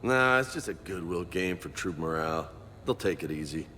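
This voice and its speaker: Swaggering soldier dude in a game, very up and down delivery, slangy, echoey. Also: male voice, low-pitched, lively intonation, moderate pace, pushed and bright. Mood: joking, cocky. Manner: slangy, swaggering, Spanish words mixed in. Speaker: video game voice actor. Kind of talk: casual game dialogue acting. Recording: reverberant room, faint ambient noise.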